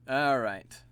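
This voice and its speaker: A man streaming live, chatting casually and laughing at himself, his voice slightly gravelly and tired. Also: mid-range voice, fairly bright tone. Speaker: streamer and voice actor.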